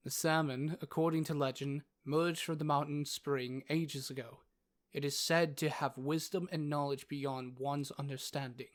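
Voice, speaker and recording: A man's voice: a little high, fairly even intonation, moderate pace, natural voice. A man playing an earnest, learned doctor and adventurer with a teasing streak in a fantasy audio drama, speaking articulately like a storyteller. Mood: reverent.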